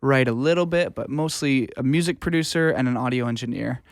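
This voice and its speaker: A man talks casually as an interview guest. His voice is smooth and clean, captured very dry, and he rambles informally with the odd swear word. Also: medium pitch, a little fast, no echo. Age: 20s. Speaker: guest, music producer and audio engineer. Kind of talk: casual conversational interview answers.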